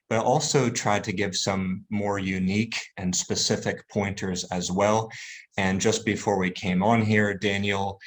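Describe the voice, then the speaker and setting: A man hosting an interview, introducing his guest with an enthusiastic, welcoming manner. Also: fairly low pitch. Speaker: host. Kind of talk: podcast-style interview introduction.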